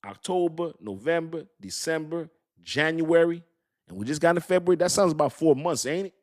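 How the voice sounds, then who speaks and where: A man's voice: fairly high pitch, animated, brisk. Hyped slangy man hosting a crypto stream. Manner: hyped, repetitive, slangy, talks straight to chat. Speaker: crypto livestream host. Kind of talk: excited casual livestream talk to chat.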